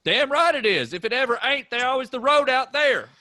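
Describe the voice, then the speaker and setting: A man reading the part of a gruff, scornful middle-aged farmer father, quick to anger. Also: very high-pitched, very animated, pushed and bright, no echo, exaggerated voice. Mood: angry. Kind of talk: staged play reading.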